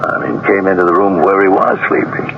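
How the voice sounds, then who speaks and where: An actor playing a methodical, probing police sergeant in a 1970s radio drama, questioning in a halting, deliberate way. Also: male voice, sits low, little pitch movement. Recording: noisy, muffled vintage radio recording.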